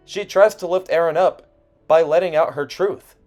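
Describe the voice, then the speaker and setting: A man's voice: fairly high pitch, normal speed, a little mellow. A man reading a scripted video essay in an earnest, personal way with emphatic phrasing. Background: background music.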